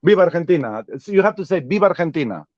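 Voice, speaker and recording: A man's voice: fairly high pitch, sing-song, very fast, no room sound, lo-fi sound. A Peruvian man speaking English with a Peruvian Spanish accent, casually chatting and reading out viewer comments on a livestream. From Peru. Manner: chatty, laughs often, reads out viewer comments. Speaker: vlogger hosting a livestream.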